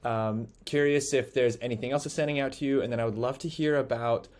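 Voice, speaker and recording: A man co-hosting a podcast over a video call, asking questions in a measured, encouraging way. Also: medium pitch, steady tone. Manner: measured, encouraging, draws words out. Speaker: podcast co-host. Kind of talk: interviewing, casual chat. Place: indoor room at home.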